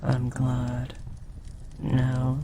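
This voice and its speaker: A man playing a devoted, lovestruck demon in an intimate audio roleplay, speaking formally, earnestly and with breathy hesitation. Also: mid-range voice, monotone, extremely slow, noisy background. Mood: tender, yearning. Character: devoted, lovestruck powerful demon bound to a sorcerer.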